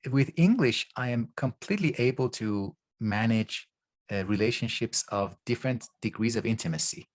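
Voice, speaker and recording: A man interviewing in a thoughtful conversational style, reflecting in a measured, attentive way. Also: mid-range voice.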